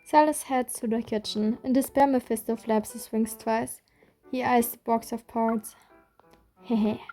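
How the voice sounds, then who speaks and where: A woman reading a game's story aloud in a steady first-person voice. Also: a little high, measured pace, soft background music. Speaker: reader.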